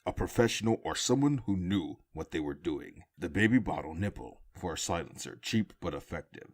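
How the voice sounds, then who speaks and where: A man voicing a brooding masked vigilante detective in a comic book audio drama, speaking in clipped, grim, deliberate phrases. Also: sits low, expressive, voice pushed down. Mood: grim, analytical.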